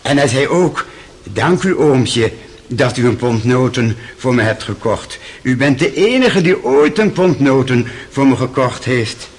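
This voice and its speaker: An actor playing a kindly, forgetful old German doctor giving halting, sentimental testimony in a radio drama. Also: male voice, medium pitch, lively intonation. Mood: excited, fond. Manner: halting, searching for words, sentimental.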